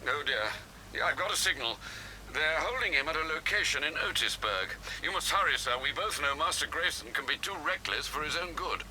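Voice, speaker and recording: An actor voicing a formal, deferential, worried butler in a video game. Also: male voice, a little high, animated, fairly quick, sharp tone, slight background noise, poor audio. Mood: anxious. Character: formal, worried male butler, devoted to his master. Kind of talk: video game cutscene acting.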